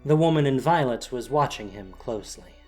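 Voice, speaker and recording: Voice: mid-range voice, lively intonation, brisk, a little mellow, soft background music. A narrator reading a scripted, dramatic story for an audio drama.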